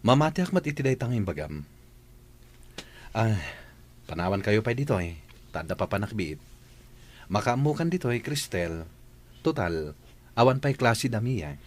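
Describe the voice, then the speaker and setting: A man playing an assured, attentive middle-aged father and employer in a radio drama, unhurried and in charge. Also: lowish voice, big pitch swings, a little mellow. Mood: friendly. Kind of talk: Ilocano radio drama acting.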